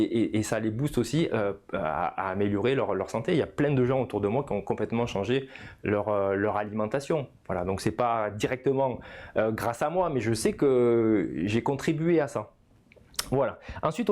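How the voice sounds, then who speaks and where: A man's voice: mid-range voice, darkish tone. A man, explaining in an informal coaching style and often punctuating his phrases with small tag words. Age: 50s. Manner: didactic, punctuates phrases with "hein" and "voilà". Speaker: personal development coach. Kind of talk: informal video lesson, explanatory.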